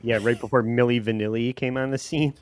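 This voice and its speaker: A man's voice: medium pitch, steady tone, brisk. A man chatting casually and jokingly as a commentator in a movie watch-along.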